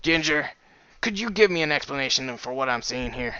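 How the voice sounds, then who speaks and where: A composed pony captain from a storybook, played by a man, speaks formally and with authority. His voice is bright and forceful. The recording is close and dry, a little dull. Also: medium pitch, animated, clean tone, dry recording. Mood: questioning. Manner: formal, commanding, measured.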